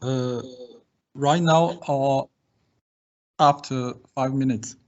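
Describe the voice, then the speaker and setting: A man demonstrating software in an online class, speaking hesitantly and haltingly with long pauses over a video call. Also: mid-range voice. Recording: dull, slightly noisy call audio with typing. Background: keyboard typing and clicks.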